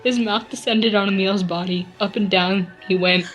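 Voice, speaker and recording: A woman's voice: medium pitch, steady tone, normal speed, low-quality recording. A woman doing a casual dramatic reading with friends, giggly and often breaking off to comment on the text. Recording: music playing behind the voice. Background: music.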